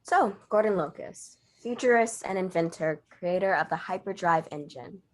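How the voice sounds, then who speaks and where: A woman acts out a female reporter with a slightly low voice. She is guarded and businesslike with a bit of spice, speaking in a reserved, matter-of-fact way for an audio drama. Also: moderate pace, natural voice. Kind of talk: audio drama table read.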